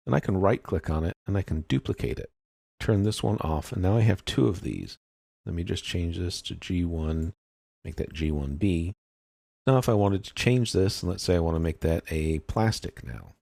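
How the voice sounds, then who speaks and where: Deep voiced guy w creaky fry, casual software walkthrough, talks thru what hes doing, dry close sound. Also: no echo. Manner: informal, explanatory, thinking aloud while working. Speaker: software tutorial instructor. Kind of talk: casual step-by-step screen tutorial.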